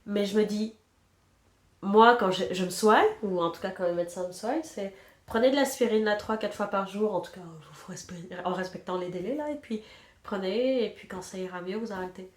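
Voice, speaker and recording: A woman's voice: medium pitch, a little fast, roomy sound. A woman in her thirties with a stuffy nose from a cold, chatting casually and self-mockingly as she knits at home. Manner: chatty and self-mocking, trails off counting stitches. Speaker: knitting podcast host. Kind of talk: casual chat while knitting.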